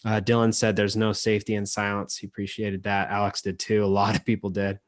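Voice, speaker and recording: A man emceeing an informal online event, speaking haltingly with frequent pauses. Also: fairly low pitch, completely flat delivery, dry recording, studio quality. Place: indoors, remote video call. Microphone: video call.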